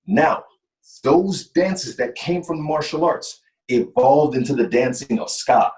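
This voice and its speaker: A man who works as a mind-body coach, a guest in a casual interview, explaining things in a relaxed way and repeating words. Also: medium pitch, a little slow. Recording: echoey from a distance.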